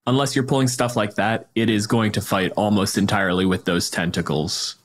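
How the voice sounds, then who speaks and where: A man with a fairly low voice speaks in a casual, conversational style. He explains his thinking like a podcast host in an informal discussion. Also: steady tone, brisk. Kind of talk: casual tabletop game discussion.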